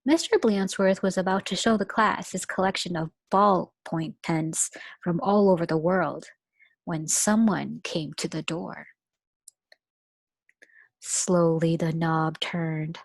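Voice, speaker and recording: Brief: expressive teacher reading aloud to little kids, slow pace, dry close sound. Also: female voice, on the low side, no room sound. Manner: expressive, storytelling. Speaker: pre-kindergarten teacher. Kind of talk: children's read-aloud.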